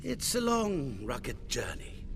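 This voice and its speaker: A stiff older nobleman father in a fantasy game. He speaks very slowly and awkwardly with swinging intonation, over clear noise. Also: medium pitch, wildly swooping pitch, a bit bright, exaggerated voice. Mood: uneasy. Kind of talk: dramatic video game acting.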